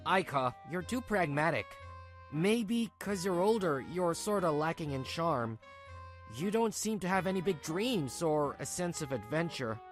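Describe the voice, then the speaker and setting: A man's voice: fairly high pitch, lively intonation, unhurried. Guy doing a selfish dreamy husband, whiny earnest pleading, manga dub, bit of music behind. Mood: smug, dismissive. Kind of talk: dramatic manga dub dialogue.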